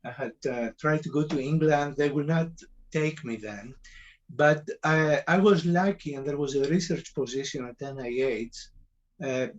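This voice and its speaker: An older man with a Greek accent telling his story informally on a panel, in halting phrases. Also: fairly high pitch. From Greece. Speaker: panelist, cancer center director. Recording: dull, lo-fi call audio.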